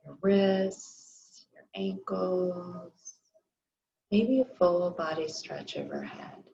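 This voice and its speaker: A woman leads a restorative yoga class, her lowish voice gravelly and strained because she is losing it. She speaks very slowly and softly, easing listeners through breathing and rest. The recording is a little dull and lo-fi. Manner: slow, soft, soothing guidance. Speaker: yoga instructor. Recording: slightly dull lo-fi audio.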